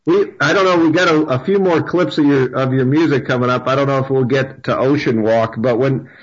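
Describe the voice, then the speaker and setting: A man hosting a radio interview, speaking haltingly with many fillers and repeated words. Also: medium pitch, fairly even intonation, breathy edge. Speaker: radio host. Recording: dull lo-fi radio sound.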